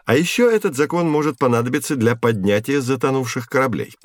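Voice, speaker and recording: A man playing a patient, genial science professor who explains in a measured, didactic way in a scripted educational audio drama. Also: mid-range voice, expressive, natural voice. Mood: explaining.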